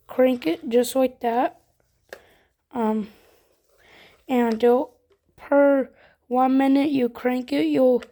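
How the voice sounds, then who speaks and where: Female product reviewer, higher-pitched, informal, walking through features step by step in a casual demo. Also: dark tone.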